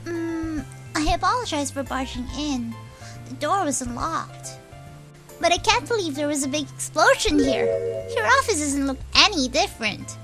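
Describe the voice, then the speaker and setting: A woman's voice: high-pitched, animated, moderate pace, over music. A woman in a game fan dub voicing an eager, sweetly polite young girl helper. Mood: eager, determined.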